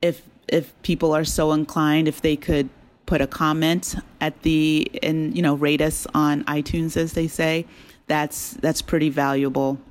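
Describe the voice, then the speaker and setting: Chatty woman with a lower-pitched voice. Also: flat delivery, measured pace. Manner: chatty, appreciative, laughs easily. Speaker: interview guest, audio drama creator. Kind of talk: casual conversational interview.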